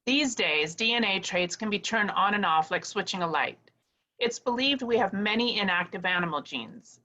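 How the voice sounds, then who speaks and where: Woman playing a bright-voiced nerdy lab scientist. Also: medium pitch, expressive, moderate pace, low-quality recording. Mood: explaining. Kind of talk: comic screenplay table read.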